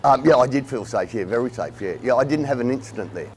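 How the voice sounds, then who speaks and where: A man's voice: medium pitch, lively intonation, fast talker, darkish tone, soft background music. A man with an Australian accent, speaking plainly and hesitantly in a documentary interview.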